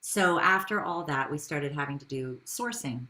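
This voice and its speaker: A woman's voice: low voice, lively intonation, normal speed, bright, forceful tone. A woman speaking as a business founder in an interview, telling her story in a conversational way with rhetorical questions.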